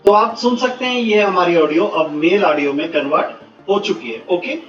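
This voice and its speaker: A man giving step-by-step software instructions, mixing in English technical words. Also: high-pitched, normal speed. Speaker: software tutorial presenter. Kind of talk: step-by-step screen tutorial. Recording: muffled, roomy, distant mic, faint music.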